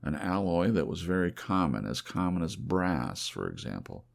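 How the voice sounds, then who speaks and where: A man voices a weary, reflective fellow in an audiobook. The voice is extremely deep and creaky, musing and halting as it recalls the past. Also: big pitch swings, heavily acted. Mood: wistful musing. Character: weary, reflective man recounting a lost war dream. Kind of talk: audiobook character voice.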